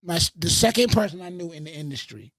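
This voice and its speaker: A man from the Bronx and Fayetteville, a radio host and producer, telling animated stories on a podcast and swearing freely. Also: on the high side, quick pace, dry recording. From Bronx, New York and Fayetteville, North Carolina. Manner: animated storyteller with a lot of swearing. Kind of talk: casual podcast storytelling.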